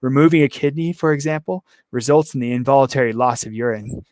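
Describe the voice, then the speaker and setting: A hoarse man reading a calm, gleeful male serial killer in custody, slow, low and matter-of-fact. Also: no room sound, natural voice. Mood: gloating.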